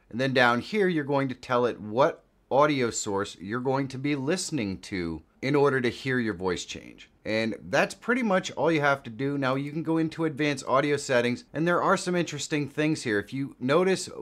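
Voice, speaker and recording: A man in his fifties hosting a casual software tutorial in a conversational, explanatory way. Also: mid-range voice. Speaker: host of a tech tutorial channel.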